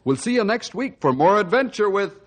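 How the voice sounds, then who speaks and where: An actor playing an earnest sheriff and storyteller in old-time radio drama, speaking in a measured, dramatic, moralizing way. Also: male voice, sits high, very animated. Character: earnest sheriff who narrates to young listeners.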